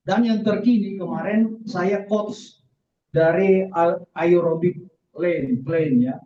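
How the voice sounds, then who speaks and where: Higher-pitched man testifying, roomy and distant. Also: slow pace, warm tone. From southern Philippines, mixed Indonesian family. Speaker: guest speaker giving a testimony, says he is a military man. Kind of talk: church testimony. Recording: distant, roomy, dull lo-fi sound.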